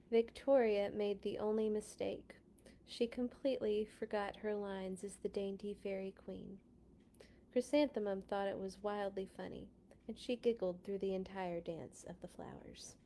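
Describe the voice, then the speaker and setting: A woman hosting a children's read-along, reading storybook text with deliberate dramatic emphasis and dropping into casual asides. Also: medium pitch, mellow, slight background noise. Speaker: children's read-along host and Bible school teacher.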